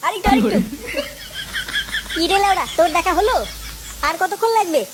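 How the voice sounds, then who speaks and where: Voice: very high voice, expressive, moderate pace, background noise. A voice actor playing a sneaky, cursing young village rascal in a comedy cartoon. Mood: impatient, irritated. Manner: crude, cursing, cheeky. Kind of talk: exaggerated comic cartoon dubbing.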